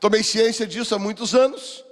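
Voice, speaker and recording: A Brazilian man in his sixties speaks as a pastor sharing personal testimony. His voice is quite high for a man, with a deliberate delivery that repeats phrases for weight. The sound carries the long reverb of a large hall. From Rio de Janeiro, Brazil. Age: 60s. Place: church hall, speaking to a seminar.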